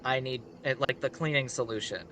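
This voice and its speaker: A man's voice: mid-range voice, a little fast, bright, forceful tone, a little hiss or hum, rough recording. A man telling a story casually on a live stream, rambling with conversational asides.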